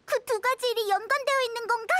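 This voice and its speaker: A woman voicing a tiny, excitable, childlike fairy companion in an anime-style video game, with a squeaky, exclamatory delivery. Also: fast, no room sound. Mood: curious.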